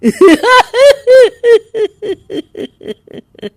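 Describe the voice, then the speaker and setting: A woman voicing an evil, boastful cartoon witch with theatrical threats and drawn-out taunts. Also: very high-pitched, sing-song, dry recording, clean studio sound, voice pushed up, heavily acted. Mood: menacing, gloating. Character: cackling evil female witch, menacing, boastful, hungry for prey. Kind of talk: Hindi cartoon villain acting.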